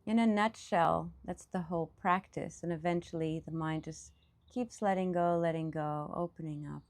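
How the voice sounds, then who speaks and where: A woman leading a guided meditation as a teacher, speaking off the cuff in reflective, halting phrases with pauses. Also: fairly low pitch, steady tone.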